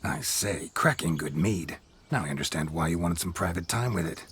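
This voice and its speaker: An actor playing a roguish, boastful reveler in a fantasy video game, with a jaunty, old-fashioned way of talking. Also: male voice, low voice, expressive, normal speed, husky, full character voice. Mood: teasing. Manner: jaunty, swaggering, old-fashioned turns of phrase. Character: roguish, boastful reveler living again in a monster hunter's body. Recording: game dialogue under loud music.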